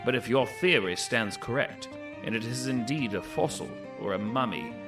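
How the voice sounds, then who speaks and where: A man's voice: medium pitch, expressive, normal speed, natural voice. An actor playing a scholar voicing his own warning letter in an audio drama, formal and ominous. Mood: grave. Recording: distant voice under clear music.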